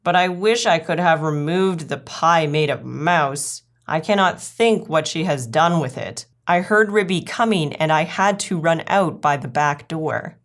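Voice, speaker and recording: A woman's voice: deep voice, a little slow, few pauses. A woman voicing an anxious, well-mannered lady dog guest with formal, fretful politeness in a storybook reading. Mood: fretful, puzzled.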